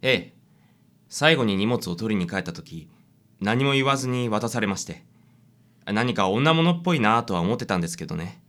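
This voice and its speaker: A man's voice: on the low side, expressive, normal speed, fairly bright tone. A man playing a meek, flustered middle-aged divorced father in a radio drama. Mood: explaining, rueful.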